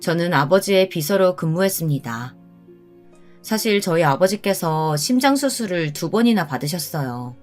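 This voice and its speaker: A woman's voice: fairly low pitch, animated, moderate pace, faint music behind. A woman reading a scripted first-person story as its narrator.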